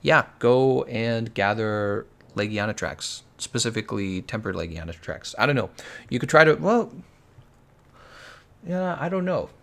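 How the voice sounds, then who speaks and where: Gravelly American man streaming a game. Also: medium pitch, expressive, unhurried, a bit bright. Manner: chatty, answers viewer chat while playing, laughs often. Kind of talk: casual live-stream commentary.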